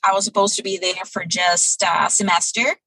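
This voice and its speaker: Woman guest in her thirties, bright husky tone, dry close sound, measured answers with fillers. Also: fairly low pitch, fairly even intonation, no echo, rough recording. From Brazil. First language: Portuguese. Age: 30s. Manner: thoughtful, many um pauses. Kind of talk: interview answers.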